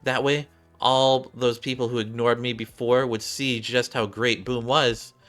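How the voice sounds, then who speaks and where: A man voicing a shy, earnest young android gamer girl from a game story, halting and heartfelt. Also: mid-range voice, level tone, fairly bright tone, soft background music, pitched above the performer's own voice, natural voice. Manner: halting, heartfelt, repeats and restarts.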